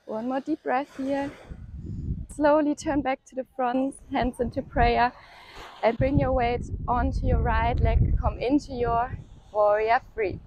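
A woman leading a home yoga workout, calmly cueing breaths and poses and encouraging the listener to keep going. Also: sits high, a little slow, poor audio. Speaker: yoga instructor. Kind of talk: guided workout instruction.

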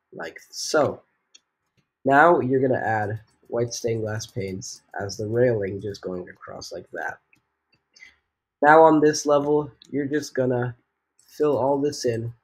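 Husky young guy, sick-sounding tutorial voice. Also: medium pitch, darkish tone. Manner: rambling, lots of fillers, jokey asides. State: sick, voice a bit off. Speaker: gaming tutorial host. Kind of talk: casual building tutorial voice-over.

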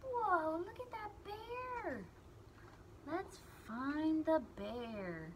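A woman's voice: very high-pitched, expressive, very slow, slight background noise. A young woman reading a picture book aloud to small children, in simple phrases with emphasized key words. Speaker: children's read-aloud storyteller.

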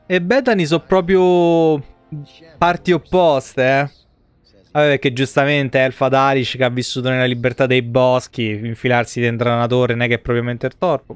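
Clear-voiced Italian man streaming a game. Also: mid-range voice, normal speed, dry recording, faint music behind. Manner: sarcastic, swearing, colloquial. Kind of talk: casual live-stream commentary on a role-playing game.

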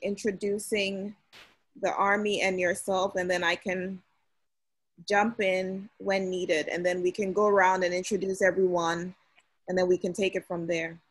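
Young woman from ghana running a video call, kinda husky voice, chatty, lots of ums. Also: medium pitch, unhurried. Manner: relaxed, chatty, many fillers. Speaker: host of an online meeting. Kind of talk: casual meeting talk.

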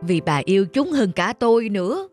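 A woman voicing a gentle, loving old husband. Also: medium pitch, sing-song, normal speed, soft background music, natural voice. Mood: tender. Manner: tender, reassuring.

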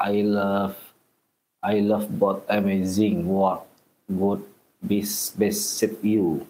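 Man, 30s, Indonesian accent, live-stream host: low-pitched, unhurried, halting simple English, phone mic at arm's length in a small home room. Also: darkish tone, rough recording. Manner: halting, simple English mixed with Indonesian, frequent short laughs. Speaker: livestream host caring for a baby monkey. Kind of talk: casual livestream chat reading viewer comments. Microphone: phone camera at arm's length.

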